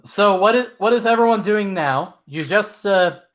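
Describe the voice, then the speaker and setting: A young man acting as game master, narrating a casual tabletop session in halting speech with many restarts. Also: high voice, expressive, a bit bright.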